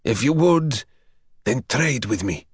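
A man's voice: medium pitch, monotone, brisk, a bit bright, slightly rough, dry recording, clean studio sound. A man voicing an eager young man who pleads and bargains urgently. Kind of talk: audiobook character voice.

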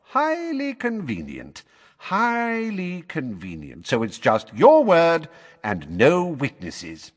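A lofty, bullying male official performed by a male narrator in an audiobook courtroom. He is supercilious and impatient, barking with wide swings of pitch in a husky voice, with long reverb around it. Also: sing-song, normal speed, hardly any pauses, fairly bright tone.

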